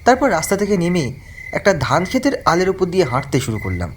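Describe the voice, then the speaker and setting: A man's voice: high-pitched, wildly swooping pitch, fast, slight background noise. A man narrating a first-person horror story from a script. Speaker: narrator of an animated ghost story.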